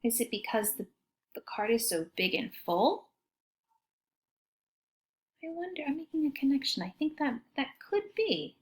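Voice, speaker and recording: A woman teacher reading a picture book aloud to children in an expressive way, pausing to ask them questions. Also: high voice. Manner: expressive, child-directed, often asking questions.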